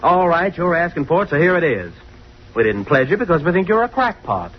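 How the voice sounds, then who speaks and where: A man's voice: a little high, big pitch swings, a little fast. A man playing a scornful young fraternity student in an old-time radio drama, dismissive and slangy. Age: young adult. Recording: dull old radio recording, hiss.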